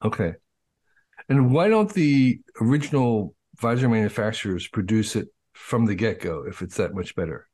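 A man's voice: lowish voice, expressive, normal speed, a little mellow. Male host restating things curiously. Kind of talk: casual interview.

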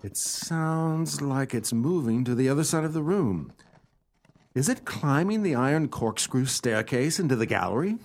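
Man acting skittish, obliging companion, very animated. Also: medium pitch, normal speed. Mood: helpful, curious. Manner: theatrical, exclamatory, old-fashioned polite phrasing. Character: obliging male companion, skittish but gamely brave. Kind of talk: old-fashioned radio play acting.

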